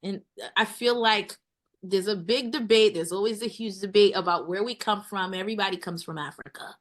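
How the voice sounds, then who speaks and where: A woman's voice: medium pitch, moderate pace. A woman in her thirties from Brooklyn with a New York accent, a chef guest talking earnestly and at length, often repeating herself. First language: Haitian Creole. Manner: earnest, rambling, repeats phrases. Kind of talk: casual interview talk.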